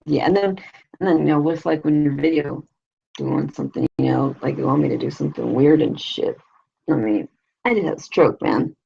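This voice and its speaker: Woman, low raspy voice, gravelly fry, rambling crude chat, lots of "you know", dull lo-fi sound. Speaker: vlogger, show host. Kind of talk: casual live video chat.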